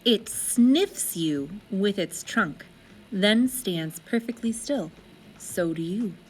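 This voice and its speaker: A woman narrates a children's storybook with great expression. Her voice sits somewhat high and rises and falls widely, with slow, deliberate phrasing. Music plays behind her. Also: lively intonation, darkish tone, poor audio.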